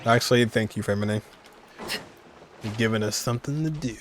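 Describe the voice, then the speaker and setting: A man's voice: fairly low pitch, moderate pace, a little hiss or hum. A man giving casual video game playthrough commentary, reacting in muttered asides and echoing what he hears. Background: game music.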